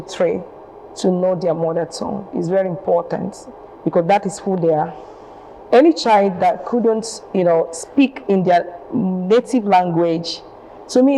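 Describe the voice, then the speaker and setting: Low, mellow-voiced woman guest. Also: a little hiss or hum, low-quality recording. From eastern Nigeria. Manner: measured, explanatory. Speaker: panel guest. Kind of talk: TV panel discussion.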